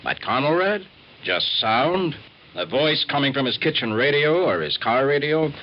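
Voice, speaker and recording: An actor playing an earnest, driven American roving reporter, narrating in an emphatic, clipped 1950s radio drama style. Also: male voice, medium pitch, sing-song, moderate pace, a little hiss or hum, natural voice. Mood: earnest, pondering. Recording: dull vintage radio recording, music behind.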